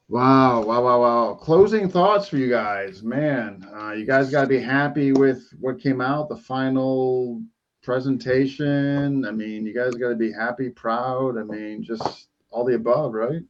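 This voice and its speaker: A man's voice: mid-range voice, a little slow. Upbeat male podcast host, lo-fi sound. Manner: enthusiastic, repeats praise words. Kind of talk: casual online interview.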